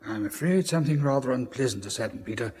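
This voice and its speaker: A courteous English colonel in a radio play. His husky, medium-pitched upper-class voice is understated yet commanding, heard in a reverberant hall. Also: lively intonation, fast talker, darkish tone. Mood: grave, courteous. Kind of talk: radio drama acting.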